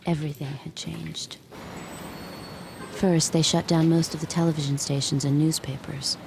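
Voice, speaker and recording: A woman playing a weary narrator who recalls her past in a quiet, reflective way. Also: deep voice, flat delivery, moderate pace, gravelly. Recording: noisy background hiss.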